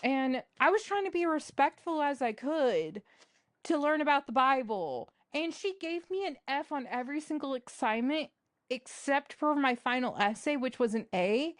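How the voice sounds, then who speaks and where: A young woman co-hosting a casual podcast chat, joking around with quick asides. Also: high voice, animated, no echo, studio quality. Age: young adult. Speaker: podcast co-host.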